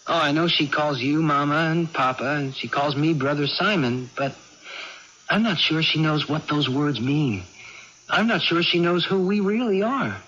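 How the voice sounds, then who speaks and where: Earnest young brother, concerned and hesitant. Bright, forward voice in a muffled old radio play. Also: male voice, on the high side, lively intonation, moderate pace. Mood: doubtful. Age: young adult. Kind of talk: 1980s radio drama acting. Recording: dull, noisy vintage radio recording.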